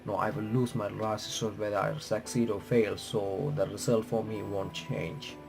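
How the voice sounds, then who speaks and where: A man reading visual novel text aloud with bits of his own commentary, halting and correcting himself as he goes. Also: on the low side, flat delivery, vocal fry. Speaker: gaming reaction streamer. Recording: music playing behind the voice. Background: game music.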